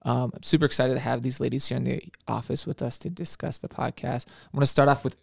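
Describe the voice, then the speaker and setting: A man's voice: mid-range voice, steady tone, fast talker, gravelly, slightly rough, no room sound, studio quality. A man hosting a podcast, interviewing guests in a casual conversational style. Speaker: podcast host. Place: office, close dry sound.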